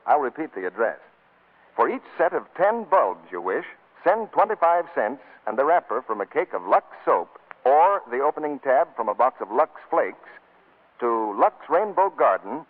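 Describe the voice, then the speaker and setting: A man's voice: mid-range voice, sing-song, moderate pace. A man working as a 1940s radio announcer, reading a scripted sponsor commercial on a muffled vintage broadcast.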